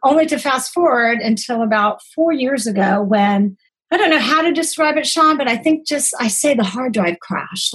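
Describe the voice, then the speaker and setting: A woman in her forties with a fairly high voice that is a little husky. She speaks as a guest, telling personal stories in a conversational way. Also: rough recording. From born in Greece, grew up in the United States. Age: 40s. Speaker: podcast guest, holistic nutritionist.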